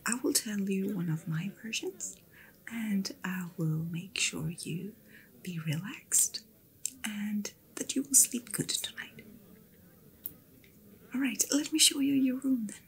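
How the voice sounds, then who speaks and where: A woman voicing a kind, attentive fantasy innkeeper in an ASMR roleplay, speaking in a soft, soothing whisper. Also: mid-range voice, animated, slow pace, long pauses. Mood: gentle, soothing.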